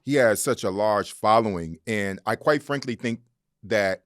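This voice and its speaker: A man's voice: fairly low pitch, measured pace, dry recording, studio quality. A man hosting an interview, asking questions in a blunt, informal way. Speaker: podcast host.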